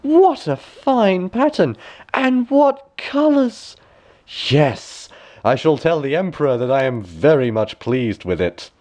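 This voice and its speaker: A man's voice: on the high side, big pitch swings, slow pace, a little mellow, pitched above the performer's own voice. An honest old minister from a storybook, acted out by a male reader. The voice jumps far up from the reader's own and swings widely. He is flustered and anxious to please, drawing out his exclamations. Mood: gushing, false delight.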